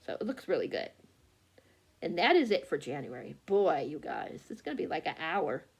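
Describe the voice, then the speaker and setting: A woman book vlogger chatting casually and haltingly, often losing her thread and correcting herself. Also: sits low, sing-song, vocal fry, husky. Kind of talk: casual rambling chat about books.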